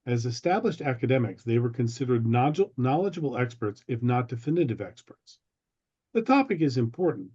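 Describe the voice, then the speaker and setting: A man with a mellow, relaxed voice presents a prepared history lecture. He speaks in a deliberate, storytelling way at a middling pitch. The sound is a little dull, as on a video call. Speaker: presenter giving a history talk. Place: online video meeting.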